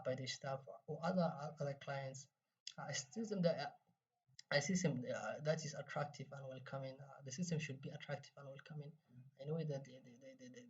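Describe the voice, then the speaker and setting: Young male student presenter, Somali accent, halting delivery with repeated words, dull recording at some distance from the microphone. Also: medium pitch, a little mellow. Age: 20s. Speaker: university student presenting a project. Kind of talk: semi-scripted project presentation.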